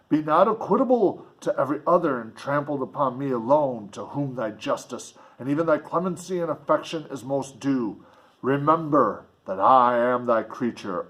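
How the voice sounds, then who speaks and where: A man's voice: mid-range voice, a bit bright, poor audio. A man voicing a huge, bitter manmade monster in a storybook reading, speaking in solemn, archaic oratory.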